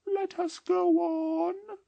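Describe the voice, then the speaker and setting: A woman voicing a gentle, otherworldly ghost of the past in an audiobook dramatization, speaking slowly and solemnly. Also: very high-pitched, steady tone, vocal fry, no room sound, muffled recording, full character voice. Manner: slow, solemn and mild.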